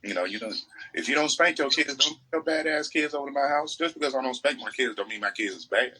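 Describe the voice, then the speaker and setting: A man's voice: mid-range voice, fast, bright, forceful tone, breathy edge, poor audio. An American man chatting casually as a podcast co-host, making opinionated points emphatically and repeating phrases.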